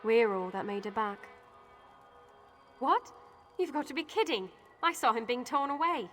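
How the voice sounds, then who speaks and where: A woman's voice: on the high side, animated, measured pace, a bit bright, faint music behind. A woman voicing an earnest, war-weary survivor in a video game, speaking with open emotion. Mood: disbelieving. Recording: long hall reverb.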